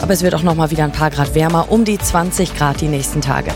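A woman radio host with a cold, hoarse, chatting casually to listeners in the afternoon show. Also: low-pitched, fast. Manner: chatty, direct address to listeners. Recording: music bed behind voice.

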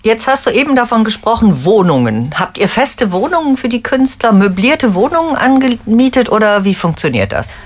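A woman's voice: fairly high pitch, sing-song, normal speed, hardly any pauses. A woman interviewer who asks informal, curious follow-up questions.

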